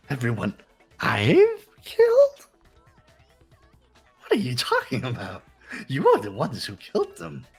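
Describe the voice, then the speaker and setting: A woman voicing an unhinged, despair-obsessed villain in a fan-dubbed video game, husky and mocking. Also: extremely low pitch, huge pitch swings, slow, pauses often, darkish tone, soft background music, heavily acted. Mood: mock innocence.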